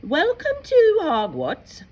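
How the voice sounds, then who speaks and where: A woman voicing a stern, formal senior witch professor in a storybook, with declamatory, deliberate delivery. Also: sits high, wildly swooping pitch, a little mellow, voice pushed up, full character voice. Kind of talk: storybook character voice.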